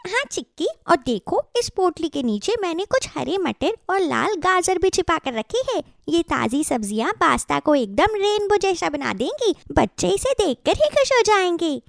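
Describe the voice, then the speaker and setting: A chirpy cartoon squirrel acted out by a woman, extremely high with a creaky edge. She is a friendly, eager helper, and her pitch moves around in a lively way. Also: moderate pace, hardly any pauses, no room sound, clean studio sound, heavily acted. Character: friendly, helpful female squirrel friend who loves spices. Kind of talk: Hindi animated children's story dialogue.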